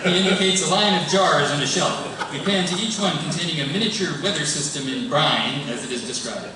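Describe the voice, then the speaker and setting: Male narrator for a live script read, slightly high, heard at a distance in a noisy, echoing hall. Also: brisk. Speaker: stage-direction narrator. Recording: noisy echoey hall from far off.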